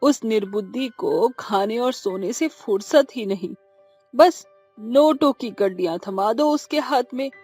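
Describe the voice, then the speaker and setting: A woman voicing a stern, weary older mother-in-law with a husky voice in a cartoon drama. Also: a little high, a little slow, dark tone, faint music behind. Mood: scolding. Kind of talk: Hindi cartoon drama acting.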